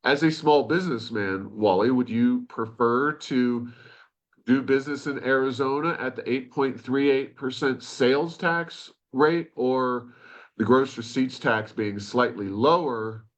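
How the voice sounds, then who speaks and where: A man's voice: mid-range voice, unhurried. A man co-hosting a conversational policy talk show, explaining points at length with frequent asides. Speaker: podcast host.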